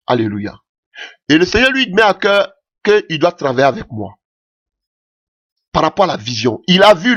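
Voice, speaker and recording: A man's voice: a little high, fairly bright tone, dull audio. A man preaching a sermon in French that is not his mother tongue, impassioned and punctuating his points with "Alléluia".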